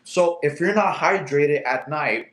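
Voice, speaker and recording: A man's voice: fairly high pitch, measured pace, fairly bright tone. A man in his thirties whose first language is Russian, casually chatting on a livestream, reading comments and laughing often. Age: 30s. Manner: laid-back, chatty, laughs often, talks to his cat. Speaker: livestream host. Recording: echoey room.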